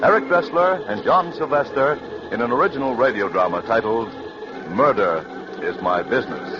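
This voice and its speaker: A man's voice: medium pitch, expressive, normal speed. Male 1940s radio announcer, mid-range voice, music behind, muffled vintage sound. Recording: muffled old radio recording.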